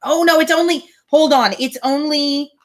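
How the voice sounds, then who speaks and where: A woman reading a classic novel aloud as host of a reading series, expressive and breaking into casual asides. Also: high voice, normal speed. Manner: expressive, animated storytelling. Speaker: reader hosting a read-aloud series.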